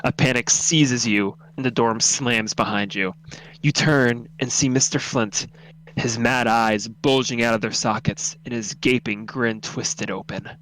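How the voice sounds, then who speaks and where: A man's voice: mid-range voice, fairly even intonation, measured pace, fairly bright tone, rough recording. A man reading a horror story aloud as narrator, dramatic, intense and suspenseful.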